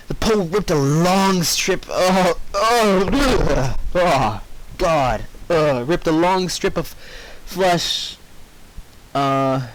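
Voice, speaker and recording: A man's voice: a little high, a bit bright, low-quality recording. A man reading fan fiction aloud informally, expressively breaking off for squeamish asides. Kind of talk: informal story narration with reactions.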